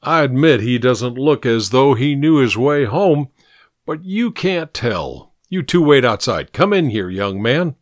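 A brusque boss figure read by a male narrator in an audiobook story. He gives sharp orders and dry sarcasm with lively, varied intonation, recorded close and dry. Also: mid-range voice, unhurried, no echo. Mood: commanding. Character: sharp, sarcastic, commanding man in charge.

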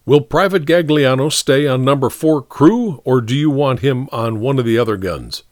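A man's voice: mid-range voice, normal speed, dry recording, clean studio sound, voice pushed up, close to an everyday voice. A man voicing a shrewd, unflappable first sergeant in an audiobook, plain-spoken yet formally respectful. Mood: steady, respectful. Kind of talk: audiobook character dialogue.

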